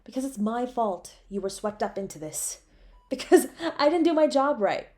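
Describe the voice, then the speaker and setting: A woman's voice: a little high, lively intonation, normal speed, a little mellow, lightly acted. A woman playing a devoted, guilt-ridden superhero in an intimate, emotional audio roleplay, with a pleading, tender way of speaking. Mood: anguished, guilty. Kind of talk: dramatic audio roleplay, intimate second-person.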